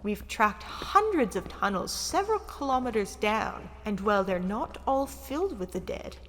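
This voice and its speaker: A woman's voice: mid-range voice, big pitch swings, normal speed, a little hiss or hum, natural voice. A woman playing a cool, condescending guide in a sci-fi audio drama, formal and haughty in her delivery. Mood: cool, guarded. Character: cool, condescending female guide of ancient tunnels. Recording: long hall reverb.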